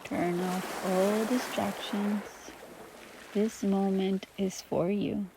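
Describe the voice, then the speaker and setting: A woman teaching meditation, guiding listeners gently through breathing and gratitude with soft pauses between phrases. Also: medium pitch, level tone, noisy background. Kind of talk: guided meditation.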